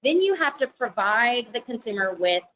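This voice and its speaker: A woman lawyer presenting a compliance webinar from her company's office, speaking in a loud, conversational, explanatory way with frequent fillers and self-corrections. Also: a little high, sing-song, moderate pace, highs cut off, a little hiss or hum. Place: office at company headquarters, heavy rain outside.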